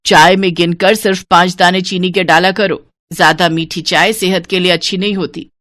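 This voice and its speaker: A woman's voice: lowish voice, steady tone, normal speed, fairly bright tone, no room sound, lightly acted. A woman voicing a stingy, cunning older mother-in-law who scolds and gives orders in an audio story. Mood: bossy, frugal.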